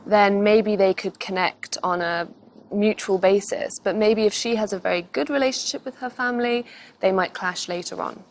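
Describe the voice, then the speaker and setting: A woman's voice: mid-range voice, moderate pace. A woman giving thoughtful, casual advice as an unscripted co-commentator. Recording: clear background ambient noise.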